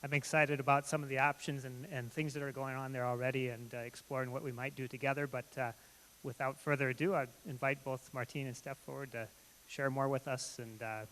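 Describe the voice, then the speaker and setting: Man, church announcer, plain and low-key, monotone delivery, faint hiss and hum. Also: fairly quick, poor audio. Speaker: church leader introducing speakers.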